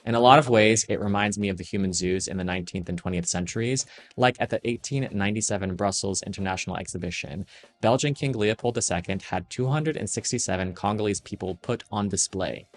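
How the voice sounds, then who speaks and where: Low-voiced man narrating a serious essay. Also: soft background music. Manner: measured, serious, critical. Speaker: fashion industry commentator. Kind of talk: scripted video-essay narration.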